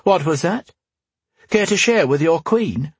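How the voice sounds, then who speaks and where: Storybook warrior queen, played by a male narrator: high, husky, teasing, proud, quick to command. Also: animated, no room sound. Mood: playful, teasing. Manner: playful, teasing, regal when commanding.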